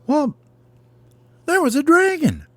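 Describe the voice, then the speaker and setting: Man playing brave little baby bird knight, storybook hero, cocky, mock-heroic, slow and animated. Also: extremely high pitch, dry recording, pitched above the performer's own voice, exaggerated voice. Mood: excited.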